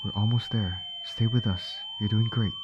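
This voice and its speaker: A man playing a gentle, reassuring ambulance medic in an ASMR comfort roleplay, speaking in a soothing, coaxing, attentive way. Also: on the low side, steady tone, moderate pace, lo-fi sound, very noisy, close to an everyday voice. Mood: urgent, reassuring. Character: gentle, reassuring male ambulance medic tending an injured patient.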